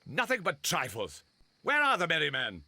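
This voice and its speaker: A haughty British gentleman in a video game, a man with a raspy, creaky voice. He is pompous and imperious, swooping dramatically in pitch with a forceful edge. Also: huge pitch swings, moderate pace, no room sound, heavily acted. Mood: dismissive. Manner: pompous, condescending.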